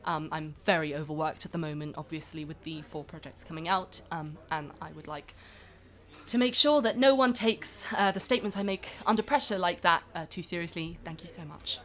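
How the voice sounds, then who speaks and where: A woman playing a self-important, overworked film star in a comedy podcast, speaking in a halting, stiffly formal, media-managed way. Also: low-pitched, natural voice. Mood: stiffly apologetic. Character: self-important, overworked young film actress making a hollow apology. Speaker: film star being interviewed. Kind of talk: scripted comedy podcast, mock interview.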